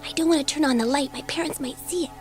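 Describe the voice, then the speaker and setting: A woman's voice: high voice, rapid-fire, soft background music, natural voice. A woman playing an eager, impulsive, breathless schoolgirl in a dramatised children's audiobook. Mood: hushed, secretive. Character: bored, impulsive, excitable schoolgirl dabbling in magic.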